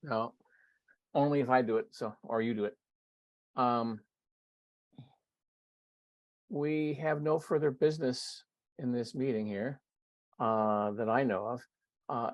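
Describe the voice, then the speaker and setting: A man's voice: mid-range voice, fairly even intonation, unhurried, no room sound. A man chairing an online board meeting, running it in brief procedural remarks. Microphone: video call.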